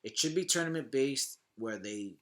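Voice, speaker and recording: A man's voice: mid-range voice, lively intonation, normal speed. A man in a casual podcast chat, opinionated and slangy, swearing freely as he talks. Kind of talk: casual podcast chat about movies and games.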